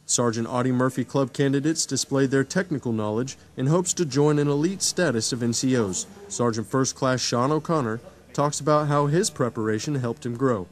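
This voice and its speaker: A young adult man reading a scripted military news report in a crisp announcer delivery. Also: medium pitch, moderate pace.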